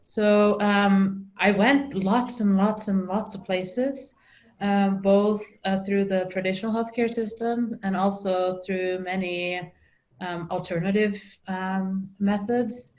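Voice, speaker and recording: A woman's voice: medium pitch, fairly even intonation, takes it slowly, a little mellow. A middle-aged woman from Norway with a Norwegian accent, telling her story as an interview guest, with frequent ums and restarts. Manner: hesitant, many ums and restarts.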